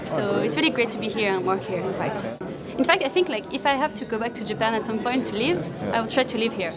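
A young woman from France chats freely, leaning on "like" as a filler. Her voice is a bit high for a woman. A loud crowd bustles all around her in a conference hallway. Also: animated, fast. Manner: chatty, fond of saying "like". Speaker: conference participant. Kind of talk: casual interview.

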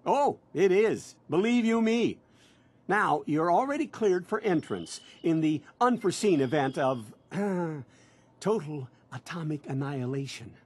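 An actor playing a pushy, cheerful, polite door-to-door salesman in a video game, with a raspy voice. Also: a little high, lively intonation, unhurried, darkish tone. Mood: cheerfully reassuring. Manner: effusive, polite, ingratiating. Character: eager, fast-talking male door-to-door salesman, pushy and cheerful.

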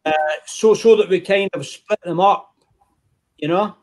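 A man's voice: sits high, unhurried, faint music behind. A man hosting an online whisky tasting over a video call, talking in a chatty, easygoing way. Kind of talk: casual chat on a video call.

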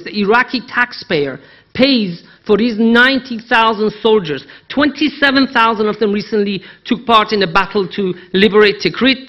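A man's voice: sits high, muffled recording. A man with a Persian accent gives an analytical conference talk, emphatic, posing rhetorical questions and repeating key phrases. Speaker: conference speaker, Iran analyst. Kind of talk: conference presentation, persuasive analysis.

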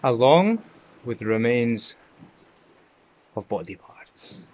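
A young man vlogging by a rocky shore and in a sea cave, talking into a handheld selfie camera, shifting between dramatic hushed storytelling and loud exclamations. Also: medium pitch, slight background noise, low-quality recording. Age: young adult. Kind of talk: excitable travel vlog.

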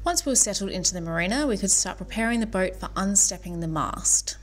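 A woman reading scripted voice-over narration for a sailing vlog, brisk and explanatory. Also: medium pitch, expressive, background noise.

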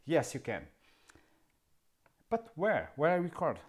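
Slow, wry Portuguese-accented man. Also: fairly high pitch, huge pitch swings. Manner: wry, sarcastic, asks himself questions. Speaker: camera video host. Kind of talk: casual tech explainer. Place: dark indoor studio, seated.

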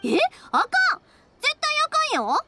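An actress playing a cheerful, big-hearted young fireworks maker in an anime game, speaking with a Kansai accent. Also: female voice, extremely high pitch, measured pace, over loud music, heavily acted. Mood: bright, cheerful. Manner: lively, friendly, expressive dialect talk. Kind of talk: Japanese anime game voice acting.

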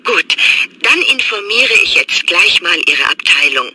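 A woman's voice: on the low side, very animated, a little slow, background noise. A woman playing an office receptionist answering the phone with a formal, friendly manner.

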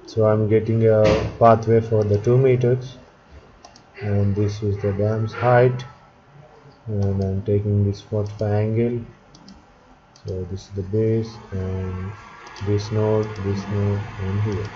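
A man narrating a step-by-step software tutorial as an instructor, speaking in short, halting instructional fragments. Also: on the low side, level tone, noisy background. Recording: dull lo-fi audio, background chatter, clicking.

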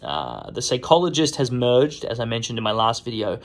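A man's voice: medium pitch, normal speed, vocal fry. Rambling man giving a workshop tour. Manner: relaxed, rambling with many fillers. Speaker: watchmaker. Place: small indoor workshop. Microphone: phone camera held close.

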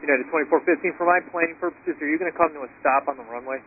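Rapid, higher-pitched male tower controller on radio. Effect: aviation radio. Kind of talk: radio air traffic instructions. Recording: tinny tower radio with faint noise.

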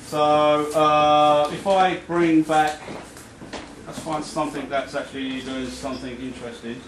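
A man's voice: a little high, flat delivery, measured pace. Australian male demonstrator, hesitant, off-the-cuff demo, echoey store. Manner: hesitant, full of ums and restarts. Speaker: product demonstrator. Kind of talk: casual live product demo. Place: crowded store at a product night. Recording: echoey shop with crowd noise.

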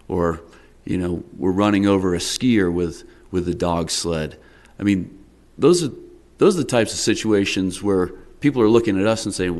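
A middle-aged man, a town council member, questioning a business owner at a public work session, measured and repeating words as he presses his point. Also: low-pitched. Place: town council meeting room, indoors. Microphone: desk microphone.

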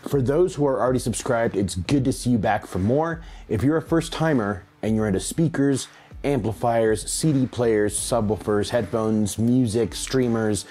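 A man around his late thirties or forties, presenting a scripted audio tech tutorial with clearly enunciated, step-by-step delivery. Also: mid-range voice, moderate pace, dark tone. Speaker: host of a hi-fi audio channel. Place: small home room, indoors.

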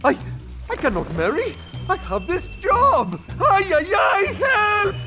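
Excitable high-pitched butler with Spanish accent. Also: male voice, takes it slowly, loud music behind the voice, exaggerated voice. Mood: panicked. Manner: excitable, melodramatic. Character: anxious, effusive male butler in a cartoon town. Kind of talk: animated video game character acting.

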